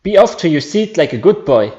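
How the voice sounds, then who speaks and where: A man's voice: fairly high pitch, sing-song, brisk, reverberant, dull audio, background noise. A man voicing a courteous, cheerful older school principal in a video game, avuncular in manner. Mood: genial.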